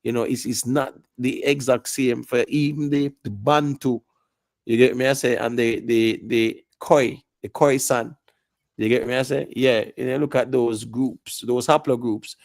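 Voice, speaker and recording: Gravelly Jamaican man lecturing. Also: medium pitch, fairly even intonation, unhurried, dry recording. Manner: lecturing, repeats "you get me" asides. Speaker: panelist. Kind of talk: live panel discussion.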